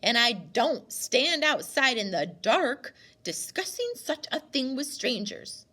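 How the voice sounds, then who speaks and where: Woman acting a loud, high, snappish old grandmother. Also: sing-song, takes it slowly, full character voice. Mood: suspicious, indignant. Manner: sharp, retorting. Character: suspicious, snappish old grandmother, blunt and indignant.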